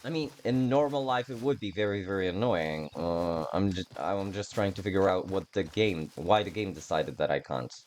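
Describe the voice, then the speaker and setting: A man giving casual game playthrough commentary in a halting, self-deprecating way, swearing freely. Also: sits low, darkish tone, slight background noise. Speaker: game streamer.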